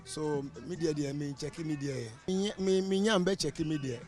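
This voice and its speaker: A man's voice: on the high side, normal speed, a little mellow, soft background music. A man with a Ghanaian accent giving a hesitant, casual interview answer.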